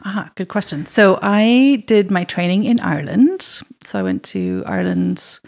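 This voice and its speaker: A woman from Zimbabwe who works as a midwife, talking through her career in a meandering, thoughtful way with small restarts and soft laughs. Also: medium pitch, huge pitch swings, moderate pace. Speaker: midwife, interview guest. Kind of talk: casual conversational interview.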